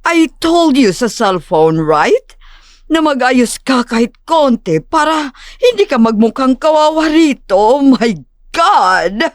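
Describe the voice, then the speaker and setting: A woman's voice: high-pitched, very animated, slow, dry recording, studio quality, exaggerated voice. A woman playing a rich, middle-aged, condescending mother in an audio drama, theatrical and snobbish, sprinkling English phrases into her speech. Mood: shocked, scornful.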